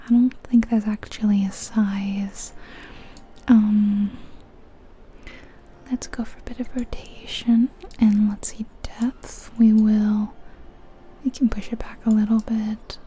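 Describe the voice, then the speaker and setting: A woman whispering softly and breathily in an unhurried, musing ASMR commentary on a video game. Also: medium pitch, little pitch movement, slight background noise. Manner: hushed, unhurried, musing aloud.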